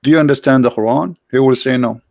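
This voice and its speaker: A man's voice: medium pitch, fast, vocal fry, a little mellow, no room sound, studio quality. A man whose first language is Arabic, hosting a live stream and debating a caller in a pushy, interrupting way, repeating his questions.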